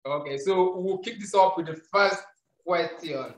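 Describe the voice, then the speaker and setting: A man's voice: high-pitched, a little slow, roomy sound, poor audio. A Nigerian man hosting an interview in casual chat, with many hesitant fillers and restarts. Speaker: host.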